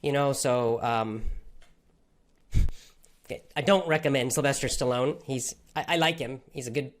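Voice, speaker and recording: A man's voice: mid-range voice, moderate pace. Guy teaching english live, hyped and motivational, answering chat. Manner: enthusiastic, motivational. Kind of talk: live Q&A with viewers.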